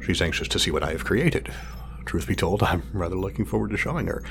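An actor playing a meticulous male scientist in an audio drama, speaking formally and wordily, halting when flustered. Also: low voice, lively intonation, gravelly, noisy background. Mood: measured, pleased. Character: meticulous, fussy male scientist testing his drone creation.

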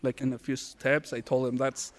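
A man from Mexico who talks in an even, monotone voice. He speaks firmly and matter-of-factly as a community organizer. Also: medium pitch, normal speed.

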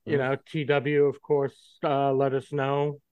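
A man's voice: mid-range voice, monotone, unhurried, darkish tone, clear, resonant tone, no room sound, dull audio. A man co-hosting a podcast, posing questions to his friends in casual, profane, rambling chat.

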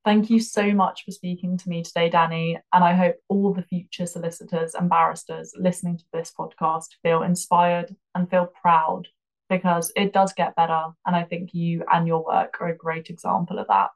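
A woman's voice on the lower side, kept quite flat and even. The speaker hosts a podcast interview, putting questions and responses in a measured conversational style. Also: rough recording.

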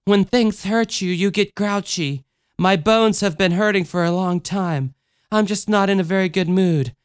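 Someone putting on a high, whiny, grouchy little girl. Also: moderate pace, clean tone, natural voice. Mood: grumbling, sad. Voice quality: small high childlike voice. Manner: whiny, petulant. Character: distraught grouchy little girl, whining and indignant.

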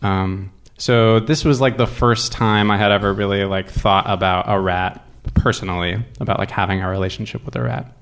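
Male storyteller, slightly deep voice, conversational and thoughtful, feeling his way through a personal account. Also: steady tone, moderate pace, few pauses, fairly bright tone, smooth. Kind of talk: casual true-story monologue.

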